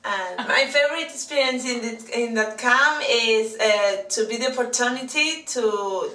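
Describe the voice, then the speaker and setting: A young woman speaking slow, careful English. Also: on the high side, sharp tone. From Catalonia. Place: indoors by a brick wall. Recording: echoey room from a distance.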